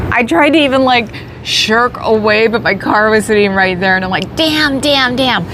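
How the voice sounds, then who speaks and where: A woman's voice: a little high, measured pace, background noise. A woman chatting casually on a livestream, thanking viewers by name and laughing often. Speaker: livestream host. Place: in a parked car outdoors.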